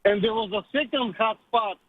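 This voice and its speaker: A man from Bulgaria with a Bulgarian accent, calling a radio show and telling a story in halting non-native English. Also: high-pitched, no echo. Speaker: caller. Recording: tinny phone line.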